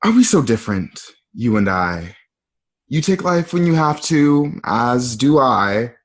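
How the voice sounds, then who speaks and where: A man playing a cruel, taunting Roman emperor in an amateur radio drama, speaking with deliberate menace. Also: mid-range voice, sing-song. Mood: brooding, challenging.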